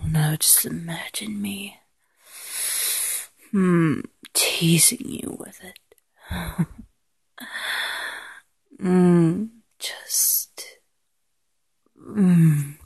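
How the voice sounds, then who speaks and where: A woman in an intimate, ASMR-style erotic roleplay, mostly whispering in a breathy voice with a slow, teasing murmur and lingering pauses. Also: on the low side, a little mellow, no room sound.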